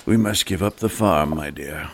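A man playing a kindly, troubled older farmer uncle in an audio drama, speaking haltingly. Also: very deep voice, a bit bright, a little hiss or hum. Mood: sad, resigned. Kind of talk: full-cast audio drama acting.

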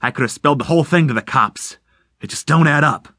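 A man reading aloud, voicing a gruff middle-aged crook who snarls and blusters in tough street slang. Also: a little high, fast talker, sharp tone, no echo, clean studio sound, pitched above the performer's own voice. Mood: agitated.

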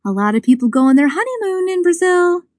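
A woman's voice: very high voice, big pitch swings, fast talker, darkish tone, dry recording, clean studio sound, pitched above the performer's own voice. A woman voicing a bold, playful woman safecracker with a sly, flirty, teasing manner in an audiobook. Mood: wry, hopeful. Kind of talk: audiobook character dialogue.

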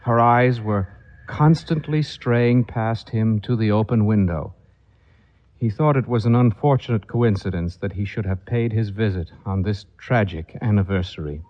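A man's voice: lowish voice, moderate pace. A man telling a ghost story as a dramatic scripted narrator. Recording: dull, muffled old record.

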